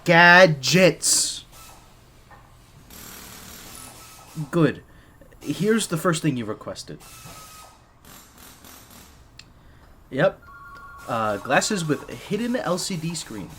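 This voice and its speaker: A man's voice: on the high side, sing-song, slow, bright, forceful tone, slight background noise, heavily acted. A man playing a shy, easily flustered gadget maker for a secret agent in an audio roleplay, speaking nervously and stammering. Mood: exasperated, instructing. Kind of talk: scripted ASMR roleplay, one side of a dialogue.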